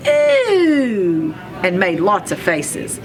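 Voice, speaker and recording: A woman reading a children's storybook aloud in an expressive, animated storytelling manner, telling it as a first-person narrator. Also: mid-range voice, slow pace, music in the background. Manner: animated storytelling with acted sound effects.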